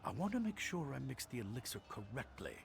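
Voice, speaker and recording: Anxious male alchemist, earnest, scholarly, cowardly, lively pitch swings, gravelly creak, video game dialogue. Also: fairly quick, soft background music. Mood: scholarly, urgent.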